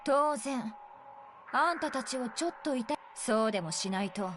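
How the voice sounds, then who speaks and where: A woman's voice: sits high, animated, fast, noisy background. A woman voice-acting a hostile, scornful young woman in a Japanese visual novel, taunting and contemptuous. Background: game music.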